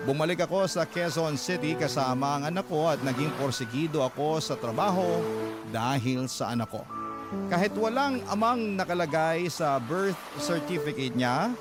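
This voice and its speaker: Young mother narrating her story for radio drama; emotive, sincere delivery, loud music bed. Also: deep voice. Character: young single mother telling her life story, heartfelt. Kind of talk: dramatized first-person letter narration over music.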